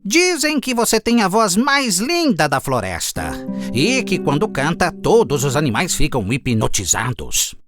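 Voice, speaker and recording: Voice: mid-range voice, huge pitch swings, unhurried, music in the background. An actor voicing a sly, cheeky, clever fox in a children's cartoon fable, with a coaxing and smug manner. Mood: flattering.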